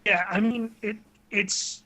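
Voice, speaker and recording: A man's voice: sits high, fairly even intonation, takes it slowly, sharp tone. A man hosting a casual talk show, speaking conversationally with an enthusiastic manner.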